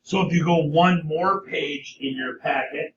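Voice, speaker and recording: A middle-aged male teacher with a hoarse voice, lecturing a class and checking in with frequent "okay?" questions. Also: on the high side, unhurried, fairly bright tone, slight background noise. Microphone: camera at a distance. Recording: roomy, distant, dull classroom sound.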